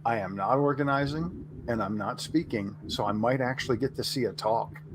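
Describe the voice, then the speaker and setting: A man's voice: medium pitch, moderate pace. A man talking casually as a panelist on a developer chat. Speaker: panelist and conference organizer. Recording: clear background noise.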